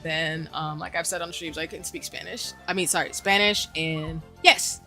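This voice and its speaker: Chatty young woman with a bright voice. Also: sits low, fairly even intonation, normal speed, soft background music. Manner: rambling and chatty, many asides and fillers. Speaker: game streamer. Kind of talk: casual chat with stream viewers while gaming.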